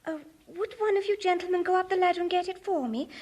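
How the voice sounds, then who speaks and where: Spoilt, girlish upper-class fiancée, fifties radio comedy, very high pitched and dreamy. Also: fast, darkish tone. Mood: breezily demanding. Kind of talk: 1950s British radio comedy acting.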